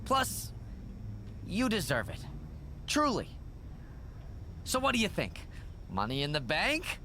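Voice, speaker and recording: A skittish male manager in a wrestling game, rambling and eager to please. His bright voice leaps up and down as he fusses. A faint hiss sits under the game audio. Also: high voice, wildly swooping pitch, takes it slowly, heavily acted. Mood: nervous. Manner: anxious, rambling, sycophantic. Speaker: wrestling show official. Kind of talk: video game cutscene acting.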